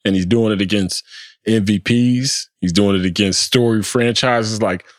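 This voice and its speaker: A man with a fairly low voice hosts a sports podcast in loose, casual talk. He is animated and swears freely, often stumbling and repeating words. The sound is dry and close, with no room around it. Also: normal speed, no echo. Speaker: podcast host.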